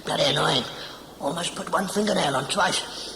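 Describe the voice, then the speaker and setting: Actor as a cantankerous, gravelly old healer, echoey. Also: male voice, a little high, normal speed. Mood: scornful. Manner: colloquial, hectoring, rhetorical "eh?" tags. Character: cantankerous older male healer, self-proclaimed genius, scolding and mocking. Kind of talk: audio drama acting. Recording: very echoey room, clear background noise.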